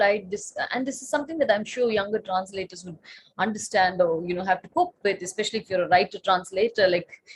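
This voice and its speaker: Husky-voiced woman, Tamil native speaker. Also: medium pitch, low-quality recording. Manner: thoughtful, many fillers and restarts. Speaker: panel guest, writer and translator. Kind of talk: panel discussion answer.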